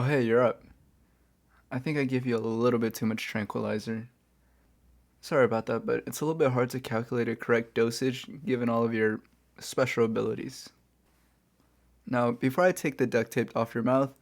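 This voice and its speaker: A man playing a smug but chatty reformed supervillain in a soft-spoken, casual ASMR roleplay. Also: medium pitch, creaky, lightly acted. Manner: casual, wry, conversational. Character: smug male supervillain turning reformed, playfully chatty kidnapper. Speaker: audio roleplay performer.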